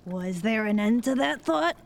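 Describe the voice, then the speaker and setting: A woman's voice: fairly high pitch, animated, unhurried, vocal fry, slight background noise. A woman playing a bossy, skeptical conspirator in an audio drama, curt and sardonic. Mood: curt, dry.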